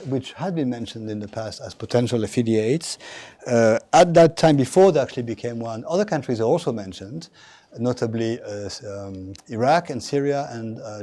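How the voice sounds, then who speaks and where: A male lecturer speaks to a room of students in a formal, halting way, stopping often on fillers and restarting his phrases. His voice is dark and mellow, sitting at an ordinary pitch for a man. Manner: formal, addresses audience directly. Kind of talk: university lecture.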